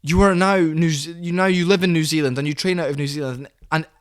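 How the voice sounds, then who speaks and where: A man rants about fighters in a heated, opinionated way, slipping into put-on voices when he quotes them. His voice sits on the higher side for a man. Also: steady tone, fast talker, clean tone. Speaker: commentary channel host. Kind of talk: ranting fight commentary.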